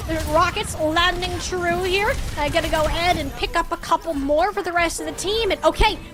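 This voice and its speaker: A woman's voice: very high voice, fairly quick. A woman doing live play-by-play commentary of a video game match, in a playful, colloquial, exclamatory way. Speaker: esports caster.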